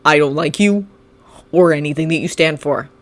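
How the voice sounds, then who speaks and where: A narrator voicing a cold, resentful teenage boy who speaks low and seething and then shouts accusations. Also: normal speed, natural voice.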